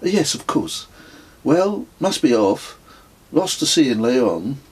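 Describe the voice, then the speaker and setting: A man voicing a prim middle-aged English woman hiker, brisk and cheery, in an audiobook story. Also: medium pitch, sing-song, a little mellow, slightly rough, voice pushed up, full character voice.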